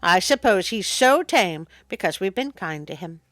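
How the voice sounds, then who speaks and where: A woman's voice: mid-range voice, animated, moderate pace, fairly bright tone, dry recording, studio quality, lightly acted. A woman voicing an easily astonished, anxious mother with emphatic delivery in a storybook.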